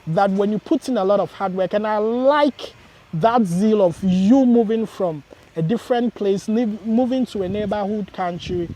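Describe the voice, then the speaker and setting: A man's voice: sits high, moderate pace, mellow, poor audio. A man hosting an interview, asking questions and encouraging with frequent short replies. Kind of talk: casual interview.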